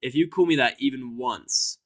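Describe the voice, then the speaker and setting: A man's voice: mid-range voice, normal speed, a bit bright, natural voice. Man acting out a surly, sarcastic human. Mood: annoyed. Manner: blunt, sarcastic, grumbling. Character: surly, foul-mouthed, cynical human in pony world.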